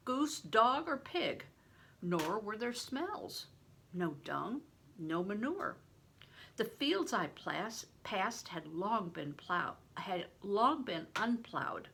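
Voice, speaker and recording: An older woman reading a novel aloud in an expressive, deliberate storytelling manner, stressing words for drama. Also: medium pitch, creaky, husky. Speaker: reader, retired reading teacher. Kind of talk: expressive audiobook-style read-aloud of a novel.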